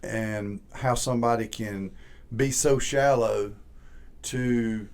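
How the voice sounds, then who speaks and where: A man's voice: on the low side, steady tone, takes it slowly, a little mellow. A man in his forties from Georgia with a Southern accent, talking casually on a podcast. He rambles and repeats words as he starts each thought. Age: 40s. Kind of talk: casual chat.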